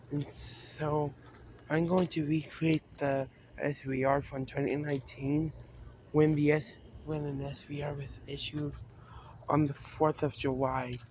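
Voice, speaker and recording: Halting, flat-toned man on a noisy recording. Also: on the high side. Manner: halting, repeats and restarts phrases. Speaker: video creator announcing a decision to fans. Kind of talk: unscripted vlog-style talk.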